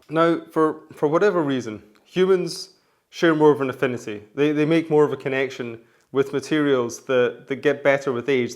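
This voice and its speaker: A man hosting a menswear vlog, talking casually and rambling with many restarts, his voice hoarse from the flu. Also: medium pitch. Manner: rambling, chatty, frequent restarts. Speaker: menswear vlogger.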